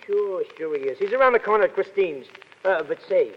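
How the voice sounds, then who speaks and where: Blustering, high-voiced army sergeant. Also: male voice, animated, fairly quick. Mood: amused, chatty. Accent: American. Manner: slangy, blustering, stammering start. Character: rough, jovial, blustering army top sergeant. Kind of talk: 1930s radio acting. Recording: muffled, reverberant 1930s radio recording.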